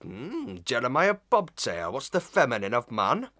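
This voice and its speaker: A man's voice: mid-range voice, wildly swooping pitch, a bit bright. A man acting a pompous, cunning old schoolmaster in a Victorian melodrama, hemming, drawing out words and leaning on stock sayings. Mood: pompous quizzing. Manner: theatrical, hemming, drawn-out, full of stock sayings. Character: pompous, cunning old village schoolmaster, proverb-quoting and blustering.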